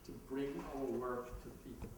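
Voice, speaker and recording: A man's voice: medium pitch, level tone, moderate pace, darkish tone. A man with a Jamaican accent giving a conversational talk at a conference, laughing at his own asides. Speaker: conference speaker. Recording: echoey hall, mic heard from a distance, background noise.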